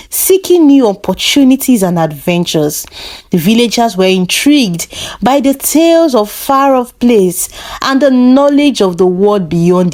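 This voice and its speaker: A woman reads a scripted folktale as a storyteller, with a measured, expressive storybook delivery. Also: a little high. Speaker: storyteller, narrator.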